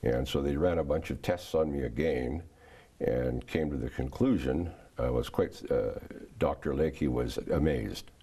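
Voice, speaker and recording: An older man giving a testimony in a TV studio interview, answering in a halting way with many restarts. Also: very deep voice, dark tone, breathy edge. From Canada. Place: small TV studio set, indoors.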